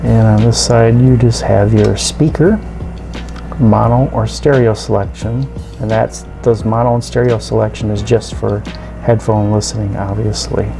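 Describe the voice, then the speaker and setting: Gravelly man giving a casual gadget demo. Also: fairly low pitch, fairly even intonation, music in the background. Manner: halting, informal, explaining step by step. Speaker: radio reviewer and video host. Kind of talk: casual hands-on product demonstration.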